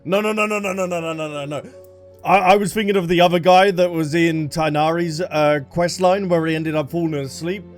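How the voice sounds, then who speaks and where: Higher-voiced male game streamer. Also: fairly even intonation, brisk, faint music behind. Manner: jokey, exclamatory, repetitive. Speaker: gaming streamer. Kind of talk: casual reaction commentary.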